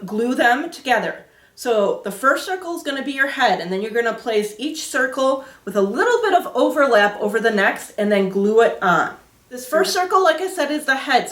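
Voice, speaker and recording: A woman with a fairly high voice teaches children as a Sunday school host. She explains in simple, clear terms. The recording is roomy and echoey, picked up a little away from the microphone. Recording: roomy echo, distant microphone.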